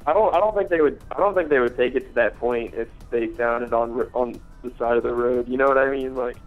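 Dude on a dull phone line, chatting casually, kinda hesitant, faint music. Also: male voice, mid-range voice, a little fast. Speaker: caller on a chat line. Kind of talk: casual chat.

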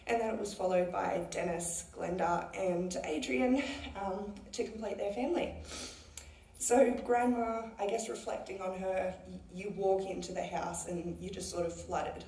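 A woman's voice: mid-range voice, steady tone, measured pace, creaky, warm tone. A young woman speaks casually at a chapel lectern, giving a eulogy for her grandmother with frequent fillers. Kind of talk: memorial eulogy. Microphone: lectern mic, heard from a far camera. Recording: roomy chapel echo from far off.

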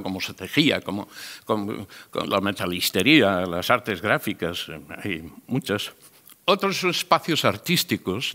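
A man's voice: mid-range voice, fairly bright tone. A man gives an improvised conference talk, speaking emphatically with digressions and restarted phrases. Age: 60s. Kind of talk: conference presentation with slides, improvised explanation. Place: large indoor auditorium. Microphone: gooseneck microphone on the table. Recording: reverberant lecture hall.

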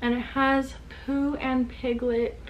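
A woman's voice: sits high, level tone, slow, dull audio. A woman in her twenties chatting casually to the camera on her desk as she shows off a clothing haul. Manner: chatty, upbeat, showing items to camera. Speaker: reseller vlogger. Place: small home room with clothing rack. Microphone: camera on desk at close range. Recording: echoey room, faint background hiss.

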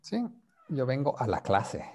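A man's voice: mid-range voice, animated, a little slow, dark tone. Patient male teacher on a video call. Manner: patient, prompting students, mixing Spanish and English. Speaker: Spanish teacher. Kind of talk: online group language class.

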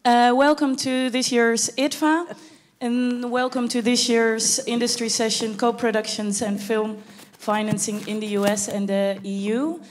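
A woman with a handheld mic on a dark theater stage, giving a polite, slightly hesitant welcome to a festival session. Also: on the high side, flat delivery, a little slow, slight background noise. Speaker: festival talks manager. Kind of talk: formal welcome. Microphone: handheld microphone.

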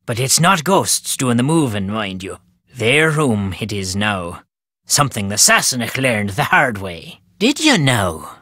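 A gruff, wry old Irish countryman for a video game, stubborn and superstitious. His voice swoops with a lively storytelling lilt and has a bright, forceful edge, recorded close and dry. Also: mid-range voice, unhurried, no echo, heavily acted. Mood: ominous. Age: older. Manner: folksy inverted phrasing, storytelling lilt. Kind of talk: video game character dialogue.

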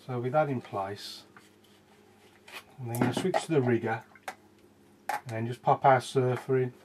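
A man in his thirties demonstrates a watercolour painting in a small indoor room, his voice at an ordinary middle pitch. He talks in a relaxed way, thinking aloud and letting sentences trail off quietly. A clear background noise runs under the recording. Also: normal speed.

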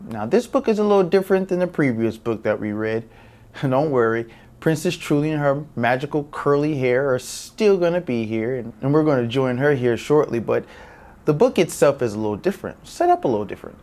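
A man in his thirties hosts a read-aloud for young children. His medium-pitched voice swings up and down in an animated, upbeat way, with a smile in it. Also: a little fast. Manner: expressive, upbeat, smiling delivery. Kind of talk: presenting a story to young children. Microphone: lapel mic.

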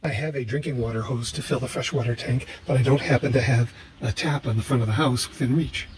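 Measured older man explaining bus plumbing. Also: mid-range voice, a little hiss or hum. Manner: measured, matter-of-fact explaining. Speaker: vlogger. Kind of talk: calm how-to narration.

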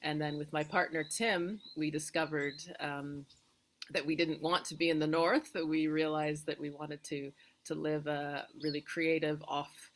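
A woman's voice: low-pitched, fairly bright tone. A middle-aged woman with a Canadian accent introducing herself casually and reflectively on a video call, often hesitant as she searches for words. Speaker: guest, retreat owner and filmmaker. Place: outdoor wooden porch by a garden.

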